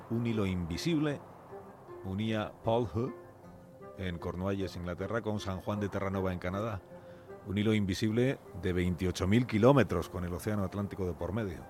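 Low-voiced Spanish man narrating a radio story. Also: moderate pace, music in the background. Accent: Castilian Spanish. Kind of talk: dramatic scripted storytelling narration.

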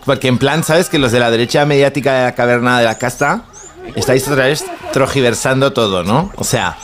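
A man's voice: medium pitch, fairly quick, fairly bright tone, a little hiss or hum, close to an everyday voice. A comedian playing a vain, muddled young male influencer with a Spanish accent, who throws in English words and mangles others. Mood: airy, rambling. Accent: Spanish from Spain. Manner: slangy, mixes in English words, fumbles words. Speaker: comedian in character.

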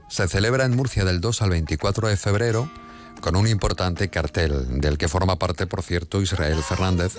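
Man, La Mancha accent, radio host, low voice, brisk studio delivery, light music under. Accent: La Mancha Spanish. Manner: brisk, newsy delivery with quick self-corrections. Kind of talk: radio news and interview.

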